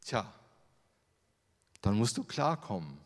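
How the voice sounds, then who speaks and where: A man's voice: fairly low pitch, sing-song, takes it slowly. A German man giving a sermon with slides, in a conversational way, full of rhetorical questions. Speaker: preacher. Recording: long hall reverb.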